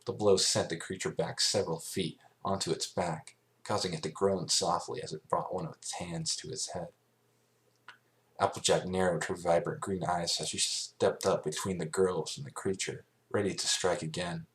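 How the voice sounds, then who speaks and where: A man with a low voice narrates a story from a script. He reads as an amateur would, haltingly, sometimes tripping over a word and picking it up again. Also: steady tone, low-quality recording. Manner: halting reading with stumbles over words. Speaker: amateur fan fiction reader.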